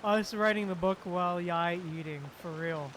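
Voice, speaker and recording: A woman's voice: lowish voice, moderate pace, a bit bright, a little hiss or hum. A woman streaming a game, giving casual, laid-back reactions in short interjections. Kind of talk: casual Let's Play reactions. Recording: faint game music behind.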